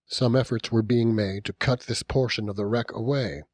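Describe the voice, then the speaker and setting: A man narrating a classic novel as an audiobook, in a measured, dramatic storytelling manner with deliberate pauses. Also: medium pitch, fairly even intonation, no room sound, clean studio sound. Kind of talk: scripted literary narration.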